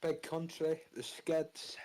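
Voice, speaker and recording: A man's voice: on the high side, monotone, takes it slowly, mellow. A young man from Fife with a Scottish accent touched by Mancunian, talking casually as a rock frontman, boastful and swearing freely. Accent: Fife Scottish with some Mancunian. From Scotland. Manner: laid-back, swearing, boastful. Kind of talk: casual interview.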